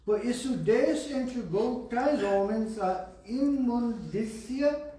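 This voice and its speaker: A man preaching a sermon in Portuguese with a foreign accent, reading scripture slowly and deliberately with small restarts. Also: very high voice, warm tone. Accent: English-speaking foreigner speaking Portuguese. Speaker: pastor. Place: church hall. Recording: roomy church hall, voice from far off.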